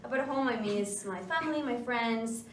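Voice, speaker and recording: A young woman from Mexico, a cast member answering in a post-show Q&A, unhurried and laughing as she searches for words. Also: on the high side. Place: theater stage. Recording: echoey noisy room from far off.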